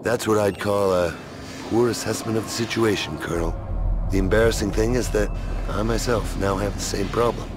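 An actor playing a tough, sardonic soldier hero in a video game, speaking in a dry, deliberate, menacing way. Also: male voice, fairly low pitch, fairly even intonation, natural voice. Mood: wry. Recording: loud music over the voice.

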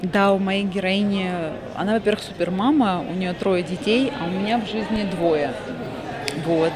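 A woman's voice: medium pitch, moderate pace. A voice actress, a woman, answering casually in an interview at an indoor premiere. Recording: loud crowd in public hall.

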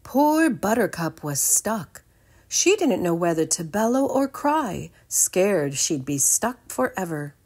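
A woman's voice: fairly low pitch, big pitch swings, takes it slowly, a little mellow. A woman reading a children's storybook aloud expressively.

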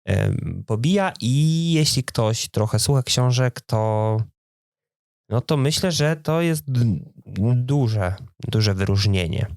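A man talks casually as a podcast co-host. His voice sits at a medium pitch, and the recording is dry with hardly any room sound. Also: expressive, slow pace, darkish tone, no echo. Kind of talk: casual chat.

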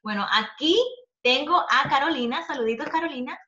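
A woman's voice: high voice, normal speed, bright, forceful tone. A young adult woman hosting an upbeat, conversational interview as a coach over a video call from a room at home. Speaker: host, coach. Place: small home room, indoors. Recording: muffled, roomy video call audio.

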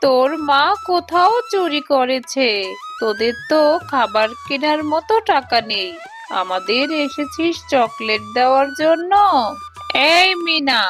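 A woman's voice: sits high, expressive, measured pace, fairly bright tone. A woman voicing a greedy, suspicious tigress aunt in a children's cartoon, with an accusing, scheming manner. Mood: suspicious, scornful. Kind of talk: Bengali children's cartoon dialogue. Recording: faint music behind the voice.

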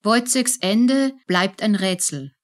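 A woman's voice: mid-range voice, slow pace. Narrator for radio drama: female, mid-range voice, scripted dramatic storytelling.

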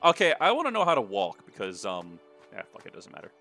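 A man's voice: lowish voice, wildly swooping pitch, fast, bright, forceful tone. A man giving casual gameplay commentary, joking crudely and irreverently as he plays. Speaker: let's play commentator. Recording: game music behind voice. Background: video game music and dialogue.

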